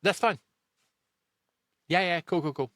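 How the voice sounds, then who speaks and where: A man's voice: high voice, unhurried, fairly bright tone, no room sound, studio quality. A man hosting a casual interview, encouraging and affirming as he talks. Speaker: podcast host, interviewer.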